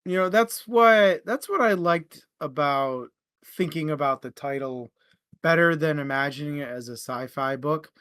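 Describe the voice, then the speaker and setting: Bright-voiced man in a casual book chat. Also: a little high, measured pace, clean tone, no room sound, clean studio sound. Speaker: book podcast co-host.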